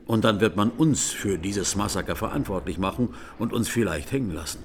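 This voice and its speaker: Male actor as clergyman witness, low pitch, grave, deliberate, courtroom radio drama, background ambience. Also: a little hiss or hum, lightly acted. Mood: solemn. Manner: solemn, deliberate recounting.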